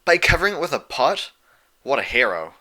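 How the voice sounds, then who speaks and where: A man voicing a brash, teasing young female pony with a loud, cheeky, casual delivery. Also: medium pitch, sing-song, a little fast, voice pushed up. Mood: mocking.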